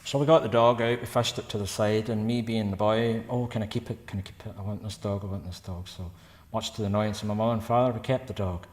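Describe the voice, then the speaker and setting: A man in his fifties with a Scottish accent from Paisley, chatting casually between songs at a concert and telling stories in a brisk, rambling way. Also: lowish voice, steady tone, a little mellow. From Scotland. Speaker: singer-songwriter performing a concert. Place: church. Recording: long church reverb with guitar.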